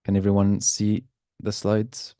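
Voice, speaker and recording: A man's voice: lowish voice, monotone, measured pace, warm tone, dry recording, clean studio sound. A man giving an informal presentation as guest speaker in an online class. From Algeria. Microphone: video call.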